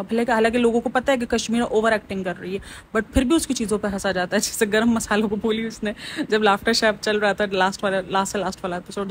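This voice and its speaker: Chatty woman vlogger, faint hiss. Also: a little high. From Pakistan. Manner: chatty, opinionated, keeps calling listeners "bhai". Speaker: vlogger reviewing celebrity vlogs and TV shows. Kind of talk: casual gossip commentary.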